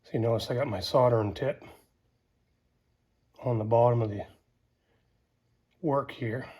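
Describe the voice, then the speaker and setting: A man's voice: medium pitch, unhurried, darkish tone, husky. Folksy man giving a casual how-to. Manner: folksy, colloquial. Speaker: host of a DIY how-to video. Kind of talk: casual hands-on tutorial talk while working.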